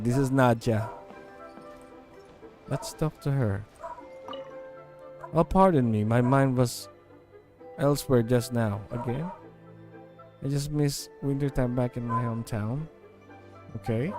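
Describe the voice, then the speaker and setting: A man's voice: medium pitch, takes it slowly, pauses often, a little mellow. Filipino man casually streaming a game. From Philippines. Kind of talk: casual game stream commentary, reading dialogue aloud. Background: game music.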